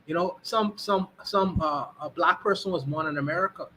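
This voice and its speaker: Bright-voiced man, halting speech. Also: a little high, slight background noise, rough recording. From Bahamas. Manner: many restarts and repeated "you know what I mean". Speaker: guest. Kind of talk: casual opinion talk.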